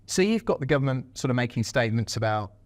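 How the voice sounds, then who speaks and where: A man's voice: mid-range voice, lively intonation, fast, dry recording. Hesitant male interviewer, dry sound. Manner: conversational, hesitant, with many fillers. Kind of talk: casual interview talk.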